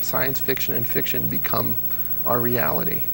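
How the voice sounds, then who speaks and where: Gravelly-voiced man swapping stories in an interview. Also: mid-range voice, steady tone, normal speed. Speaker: interview guest, old-time radio fan. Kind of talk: casual conversational interview, telling anecdotes. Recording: audible background noise and hum.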